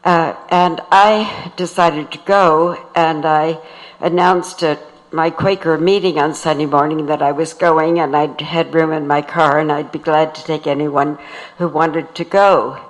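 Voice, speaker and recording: A woman's voice: deep voice, fairly even intonation, a little slow, rough recording. An older woman, a peace activist, telling a plain, matter-of-fact reminiscence in a speech at a tribute. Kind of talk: plain spoken reminiscence to an audience.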